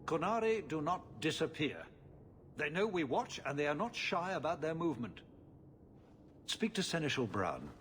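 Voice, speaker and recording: Stern game city official, formal and worried, lively pitch, faint noise. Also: male voice, normal speed. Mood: grave, urgent. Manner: formal, grave, clipped. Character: stern, worried male authority in a fantasy city. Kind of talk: fantasy video game acting.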